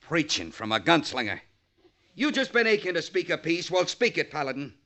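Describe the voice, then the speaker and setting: An actor playing a bitter, stubborn old rancher in a vintage radio western, gruff and commanding with a rustic drawl. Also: male voice, fairly high pitch, very animated, moderate pace, pushed and bright, full character voice. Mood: defiant. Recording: vintage radio recording, faint crowd.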